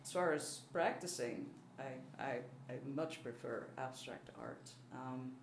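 A woman's voice: a bass voice, unhurried. A mature woman with a Belgian accent, a native speaker of French, German and Dutch, talks casually as an interviewed artist in a hesitant, modest way. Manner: self-deprecating, hesitant. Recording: roomy, faint background noise.

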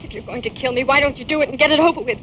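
A muffled, clearly noisy old radio recording holds a young detective's assistant. She sounds high-pitched, earnest and plucky, in 1940s crime drama style. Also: very fast, fairly bright tone. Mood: fearful, defiant. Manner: earnest, inquisitive.

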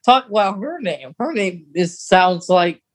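A woman talks as a podcast co-host in an informal, conversational style. Her pitch sits in the middle range, and her delivery is playful and giggly. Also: animated, a little slow, slightly rough. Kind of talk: casual chat about a radio drama.

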